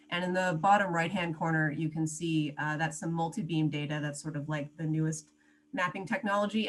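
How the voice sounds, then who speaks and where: Low-voiced woman over a faint hum. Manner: casual, hesitant fillers. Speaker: panelist, acoustics scientist. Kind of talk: online panel talk.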